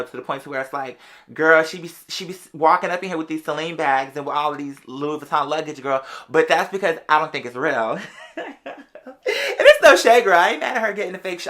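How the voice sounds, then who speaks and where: A young adult American vlogger tells casual stories in a mid-pitched, bright voice. The delivery is quick and conversational, full of sassy slang aimed straight at the viewers.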